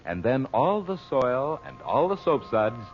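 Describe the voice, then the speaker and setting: A male announcer reading a sponsor pitch in the style of 1940s radio. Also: big pitch swings, a little slow. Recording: muffled old radio recording. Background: music.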